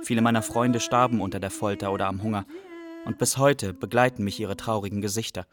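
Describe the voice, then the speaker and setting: A man playing a haunted young Iraqi refugee who narrates gravely and deliberately in a literary radio drama. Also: fairly low pitch, steady tone, close to an everyday voice.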